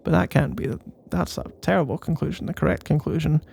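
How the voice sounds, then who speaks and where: A man's voice: fairly high pitch, fairly even intonation, a little fast, gravelly, a little mellow, breathy edge. A man doing a casual, rambling ASMR boyfriend roleplay in a playful, mock-boastful and meandering way.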